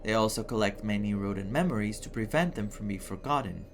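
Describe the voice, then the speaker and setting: Expressive male game streamer from Greece. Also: lowish voice, brisk, slight background noise. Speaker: gaming streamer. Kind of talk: game playthrough commentary, reading game text aloud. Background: game music.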